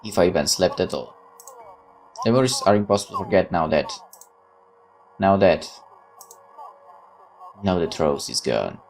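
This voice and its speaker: A man's voice: low voice, expressive, a little slow, creaky, pauses often. A man hosting a gaming video, reading visual novel text aloud and adding casual commentary.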